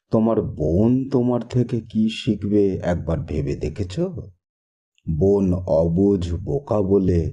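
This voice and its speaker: A man voicing a stern but fair village father in a cartoon, gravely admonishing in dull, muffled sound. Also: on the low side, warm tone, exaggerated voice. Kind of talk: cartoon voice acting.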